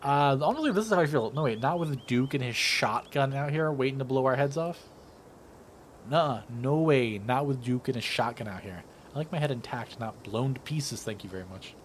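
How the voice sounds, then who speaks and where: A man's voice: medium pitch, animated, moderate pace, slight background noise. A man hosting a casual let's play, reading choices aloud and reacting as he goes. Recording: music playing behind the voice.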